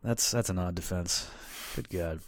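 A man's voice: low voice, normal speed, dry recording. A man co-hosting a podcast, chatting casually with crude, sarcastic humor and frequent laughter. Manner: sarcastic, profane, laughing often. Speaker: podcast co-host.

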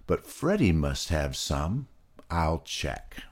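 A man voicing a gentle, absent-minded male pig who talks in a mild, wondering way. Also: extremely low pitch, big pitch swings, slow, heavily acted. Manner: gentle, dreamy.

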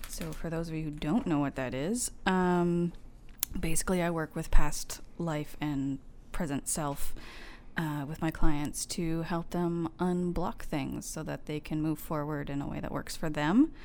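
A woman from Nova Scotia, a teacher and spiritual reader, introducing herself calmly and plainly as an interview guest. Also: low-pitched, a little slow, slight background noise. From Nova Scotia, Canada. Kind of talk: interview answer.

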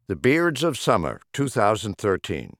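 A man's voice: on the low side, huge pitch swings, a little slow, fairly bright tone, dry recording, studio quality. A man reading humorous rhyming poems as an audiobook narrator, with a theatrical, rhythmic delivery. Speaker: audiobook reader.

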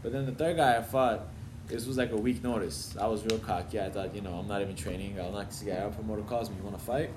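A young adult man from Los Angeles, a boxer telling fight stories in casual, slangy talk full of swearing. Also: lowish voice. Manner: slangy, swearing, fast storytelling. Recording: noisy background ambience.

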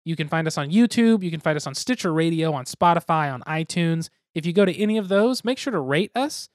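High-voiced man rambling on a podcast. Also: lively intonation, a little fast, no room sound, clean studio sound. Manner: rambling, self-deprecating, jokey. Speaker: podcast co-host. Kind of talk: casual podcast chat.

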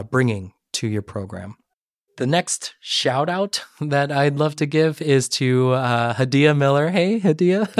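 A middle-aged man, a podcast guest, tells a personal story earnestly in a relaxed interview and often restarts his sentences. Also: medium pitch, dry recording, clean studio sound. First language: Korean. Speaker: podcast guest, education advisor. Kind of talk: conversational interview.

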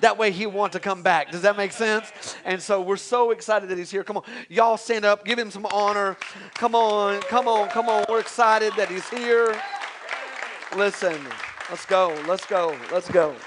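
A male pastor introducing a guest preacher, energetic and rallying the congregation. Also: sits high, moderate pace. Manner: energetic, hypes the crowd.

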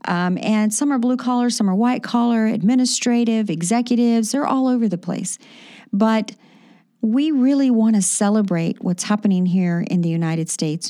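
A woman's voice: mid-range voice, dark tone, no room sound, clean studio sound. Enthusiastic woman radio host. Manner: enthusiastic, promotional, many fillers. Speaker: radio show host and magazine publisher. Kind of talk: casual radio talk show chat.

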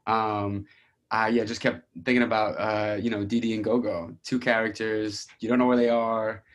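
Low-voiced man, relaxed and enthusiastic. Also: normal speed. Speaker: discussion participant. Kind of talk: casual group discussion. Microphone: video call.

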